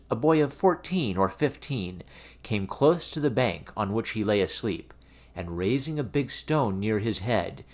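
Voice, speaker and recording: A man reading a fairy tale aloud as an expressive, theatrical storybook narration. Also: lowish voice. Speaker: storyteller, reader of fairy tales.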